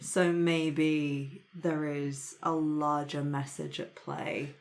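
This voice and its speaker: A woman's voice: low-pitched, little pitch movement, very slow. A woman chatting casually as a player in a tabletop game. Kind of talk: casual chat.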